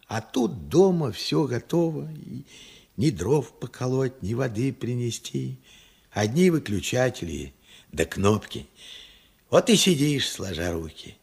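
An actor playing a regretful, stubborn old working man in a radio play, plain-spoken and reflective, with halting speech. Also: mid-range voice, darkish tone, heavily acted. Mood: resigned. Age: elderly. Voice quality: husky, creaky old voice. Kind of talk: Soviet radio play acting.

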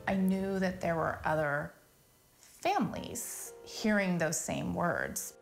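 A woman's voice: on the low side, slow pace, vocal fry. A middle-aged American woman telling a personal story conversationally in an office interview. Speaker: interviewee, nonprofit founder. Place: small office. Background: faint music.

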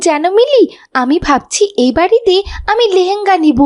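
A spirited little schoolgirl from a children's cartoon. The voice sits extremely high. She is chatty, expressive and quick to get excited or cross. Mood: excited, cheerful. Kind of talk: animated children's cartoon dialogue.

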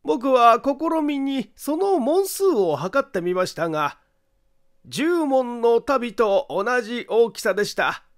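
Man acting a slow, high-voiced eerie detective. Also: big pitch swings, exaggerated voice. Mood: gleefully amused. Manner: deliberate, theatrical, amused emphasis. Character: eerie chuckling amateur detective, calm, relentlessly accusing man. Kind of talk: dramatic audiobook mystery acting.

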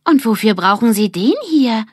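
A woman's voice: a little high, expressive, normal speed, a little mellow, slightly rough, no room sound, studio quality, pitched above the performer's own voice. A woman voicing a cheeky, teasing girl from a children's gang story, mocking and pert.

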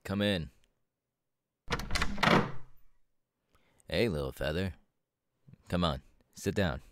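A man playing a proud, laid-back superhero mentor in an ASMR audio roleplay, talking casually with drawling, hesitant pauses. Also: low voice, no echo, studio quality. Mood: proud, easygoing.

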